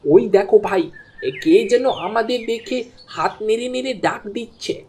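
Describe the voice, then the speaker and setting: A woman's voice: a little high, lively intonation, moderate pace, darkish tone, a little hiss or hum, lightly acted. A woman voicing a friendly, cautious village fisherman in a cartoon dub. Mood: agreeable. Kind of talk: cartoon dubbing.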